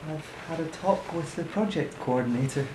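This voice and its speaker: A man's voice: fairly high pitch, animated, normal speed, close to an everyday voice. An actor playing a weary, idealistic man with a hesitant, heavy delivery in a naturalistic audio drama. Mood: drowsy, tender. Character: weary, idealistic man longing to escape to forest work. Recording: echoey, noisy room recording.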